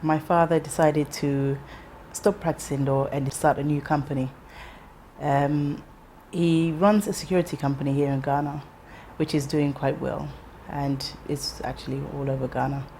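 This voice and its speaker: Low-voiced woman in her thirties, reflective. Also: fairly even intonation, dark tone, slight background noise. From England. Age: 30s. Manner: reflective, measured, with frequent ums and restarts. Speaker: interviewee. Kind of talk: personal life story interview.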